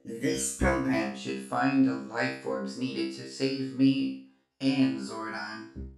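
A man voicing a weary, dutiful robot in an audio drama, making slow, deliberate announcements in a roomy echo. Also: mid-range voice, fairly even intonation, gravelly, pitched above the performer's own voice. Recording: roomy echo with music behind.

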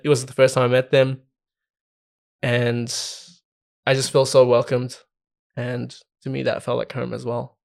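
A man with a mid-pitched voice full of vocal fry. He speaks informally and candidly, in the loose style of a casual podcast chat. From Australia. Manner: informal, candid, frequently checks with "right" and "like". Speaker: podcast participant.

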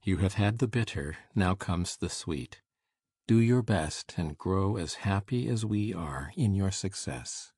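A man playing a kindly, proud older father in an audiobook, speaking with solemn, deliberate affection. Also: sits low, lively intonation, darkish tone, husky, no echo, studio quality, heavily acted. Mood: warmly proud. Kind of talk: dramatized audiobook character reading.

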